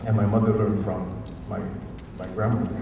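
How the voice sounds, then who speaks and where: A university professor from Hawaii with a Hawaiian accent, giving a rambling, informal, unscripted talk at a community forum and often chuckling. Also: male voice, fairly low pitch, flat delivery, brisk. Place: museum auditorium. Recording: echoey hall, distant mic, faint noise.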